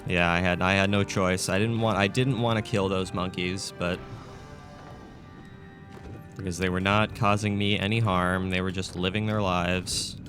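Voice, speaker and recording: A man with a scratchy, raspy throat, casually commentating a video game as he plays. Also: low-pitched, level tone, normal speed, over music. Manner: chatty, thinks aloud with fillers. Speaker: gameplay commentator. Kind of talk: casual let's-play commentary.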